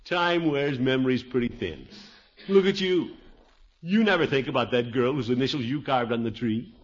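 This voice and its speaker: An actor playing a pompous but soft-hearted middle-aged uncle who blusters and frets theatrically in a 1950s radio comedy. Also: male voice, mid-range voice, moderate pace, lightly acted. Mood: reflective. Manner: theatrical, blustering, with mutters and exclamations. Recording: muffled, noisy vintage 1950s radio recording.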